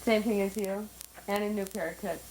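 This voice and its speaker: A woman's voice: mid-range voice, fairly even intonation, moderate pace, a little mellow, slight background noise, close to an everyday voice, low-quality recording. An actress playing a guarded woman in her thirties with a dry, sardonic way of talking. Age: 30s.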